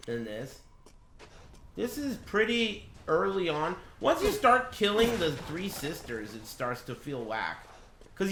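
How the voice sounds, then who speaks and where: A man's voice: sits high, bright, forceful tone. A man who streams games, chatting casually and rambling through blunt, crude, joking impressions with plenty of swearing. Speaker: game streamer. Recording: roomy echo.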